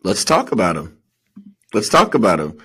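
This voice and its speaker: A man with a low voice co-hosts a sports podcast. He talks casually and with slang, working through his analysis out loud. He often puts his thoughts as questions. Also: huge pitch swings, normal speed, breathy edge. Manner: slangy, questioning, thinking aloud.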